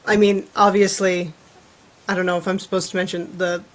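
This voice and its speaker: A woman's voice: lowish voice, level tone, a little fast, low-quality recording. A woman guesting on a podcast as an artist, explaining casually and acting out imagined reactions as little quotes.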